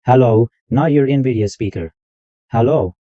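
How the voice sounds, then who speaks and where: A man hosting a screen-recorded software tutorial, narrating every click step by step in loose, repetitive wording. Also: mid-range voice, measured pace, no echo. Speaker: tutorial host. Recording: dull lo-fi dry mic sound.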